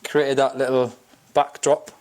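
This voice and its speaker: A man's voice: mid-range voice, flat delivery, unhurried. A Welsh man working as a barber, casually talking through a haircut step by step in his barbershop. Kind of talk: hairstyling tutorial. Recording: loud hiss and spray noise.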